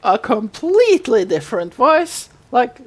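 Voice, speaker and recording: A woman's voice: on the high side, very animated, a little slow, slightly rough. A woman co-hosting a podcast in a casual recap chat, telling the story and acting out quotes as she goes. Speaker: podcast co-host.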